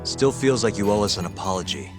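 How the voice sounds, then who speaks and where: A man's voice: on the low side, animated, quick pace, lightly acted. A male actor playing a cool, direct investigator in a video game cutscene, with a casual way of talking. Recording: music behind the voice. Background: background music.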